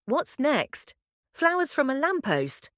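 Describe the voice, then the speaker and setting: A woman's voice: high-pitched, huge pitch swings, slow pace, fairly bright tone, no echo, studio quality, full character voice. A woman playing an anxious but teasing survivor, partner to the hero of an audio drama, speaking in short, questioning lines. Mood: playful.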